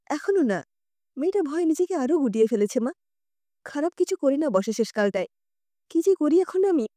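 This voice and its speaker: Casting: female reader as worried young granddaughter in an audio drama, earnest, high, very expressive pitch. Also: fairly quick, no room sound, studio quality. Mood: distressed. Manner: pleading, earnest. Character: anxious, soft-hearted young granddaughter confiding in elders.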